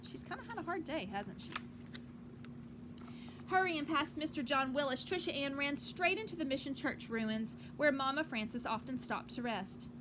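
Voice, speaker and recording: A woman teacher reading a picture book aloud to children outdoors on a porch, with gentle, encouraging asides. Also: high-pitched, animated, moderate pace. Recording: clearly noisy outdoor ambience.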